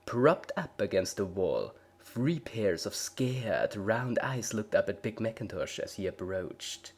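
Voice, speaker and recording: A man's voice: on the low side, very animated, slow pace, slightly rough. A man narrating a story as a scripted dramatic reading. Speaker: story reader.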